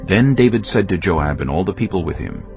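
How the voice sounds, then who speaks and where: A man narrating scripture as a dramatic story, measured and solemn. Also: low voice, lively intonation. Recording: slightly dull sound, music underneath. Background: background music.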